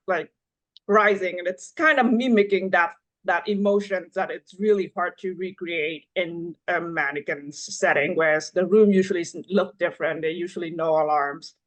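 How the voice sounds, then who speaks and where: Woman from Vietnam. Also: lowish voice. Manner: halting, frequent fillers and restarts. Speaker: neonatology fellow. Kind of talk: panel discussion.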